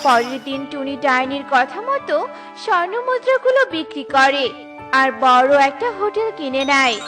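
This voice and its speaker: A woman narrates a scripted children's story in a high voice. She works as a cartoon storyteller, with clearly audible music underneath. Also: very animated, normal speed.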